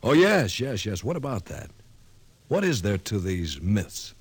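A man's voice: lowish voice, huge pitch swings, unhurried, slightly rough, dry recording, exaggerated voice. An actor playing a skeptical young man in a radio drama, who keeps firing off incredulous questions. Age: young adult. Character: young male reporter, skeptical, growing frightened. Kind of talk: 1950s radio drama acting.

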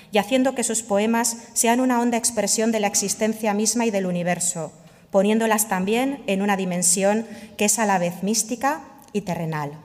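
Woman, mid-pitched, formal official reading, long hall reverb. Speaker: official reading the award jury's minutes.

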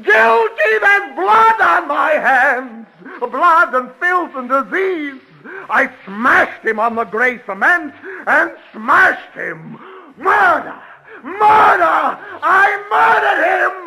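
An actor playing a scheming male prisoner who fakes guilt-crazed madness, switching between sly murmurs and theatrical shouting in a 1940s radio play style. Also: mid-range voice, rough recording.

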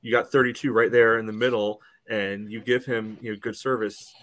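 A man's voice: on the low side, level tone, brisk, a bit bright. A man in a casual, opinionated sports debate as a podcast panelist, blunt and often saying "you know".

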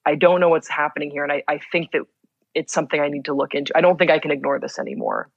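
A young adult guest who grew up in Belgium chats with steady mid pitch, tumbling through fillers and restarts in quick bursts. The voice is recorded close and dry, with no room sound. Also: creaky, no echo, muffled recording. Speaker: podcast guest. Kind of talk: casual interview conversation.

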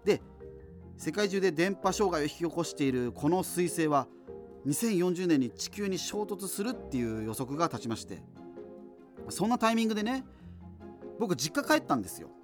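A man's voice: on the high side, big pitch swings, normal speed, over music. A man playing an amateur solo radio host in a doomed future, chatting in a rambling, self-interrupting way in a radio drama. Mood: chatty, rambling. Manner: rambling, self-interrupting, digressive, casual asides. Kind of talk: conversational radio monologue in a scripted radio drama.

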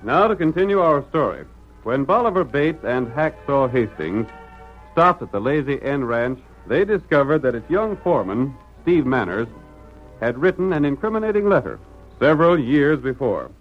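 A man narrates an old radio adventure serial in an animated voice of middling pitch. The recording is vintage and dull, with faint music behind. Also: normal speed. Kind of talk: 1940s radio scripted narration.

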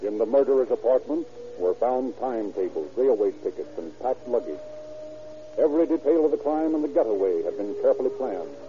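A man narrating and announcing a 1930s radio crime drama in a measured, formal manner. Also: medium pitch, animated, over music. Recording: tinny old radio recording with faint hiss.

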